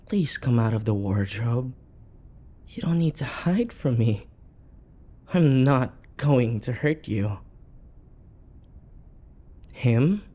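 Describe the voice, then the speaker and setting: Casting: man to play possessive dating-sim lover, dark soft voice, slow coaxing delivery, sinister sweetness, whispery ASMR intimacy. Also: medium pitch. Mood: soothing, sinister. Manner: soft, coaxing endearments, breathy sighs and whispers. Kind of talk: intimate ASMR roleplay acting.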